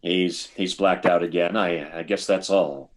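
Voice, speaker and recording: A man's voice: sits low, fairly even intonation, a little slow, background noise. An actor playing a cautious, soothing doctor in a sci-fi radio drama, hesitant and stammering as he placates. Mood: measured, hesitant. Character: cautious, soothing male doctor at a test base. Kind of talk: live performance of 1950s sci-fi radio drama.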